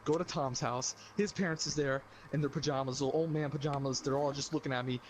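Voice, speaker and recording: A young man casually telling a comic personal anecdote on a podcast, animated and slangy with some swearing. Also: mid-range voice, fast talker, a little hiss or hum. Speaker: podcast storyteller.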